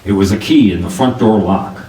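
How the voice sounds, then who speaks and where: An actor playing a wisecracking, tough private detective in a 1940s-style radio drama, with wry, clipped delivery. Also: male voice, fairly low pitch, fairly quick, close to an everyday voice. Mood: dry narration. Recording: roomy, distant mic with clear background noise.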